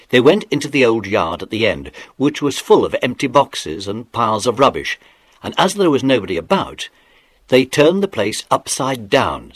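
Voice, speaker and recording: A man's voice: medium pitch, husky. A man narrating a children's adventure story from a script, as a storybook narrator.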